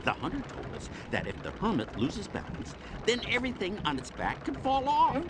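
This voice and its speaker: A man's voice: high voice, huge pitch swings, moderate pace, heavy background noise. A man voicing a peevish yet gung-ho superhero sidekick in a cartoon game, grumbling and melodramatic. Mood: urgent, heroic. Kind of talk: cartoon video game acting.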